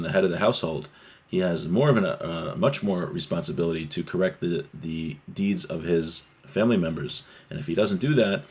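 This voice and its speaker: Husky low-voiced man teaching Torah. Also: a little mellow. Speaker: religious teacher giving a Torah class. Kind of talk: religious lesson, explaining a Hebrew text line by line in English.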